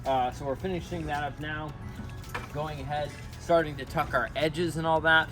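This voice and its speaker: A man hosting a casual building vlog, explaining his outdoor job site work step by step in a relaxed, upbeat way. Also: on the high side, moderate pace, music in the background. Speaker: pond builder, show host.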